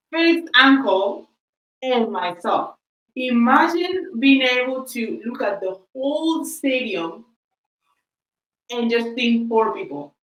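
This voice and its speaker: A woman whose first language is Spanish, co-hosting a podcast and telling stories in a casual, lively way with frequent laughs. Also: on the high side, recorded from a distance. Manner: lively storyteller, laughs often, says "like" a lot. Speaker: podcast co-host. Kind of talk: casual chat, storytelling. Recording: echoey room, off-mic.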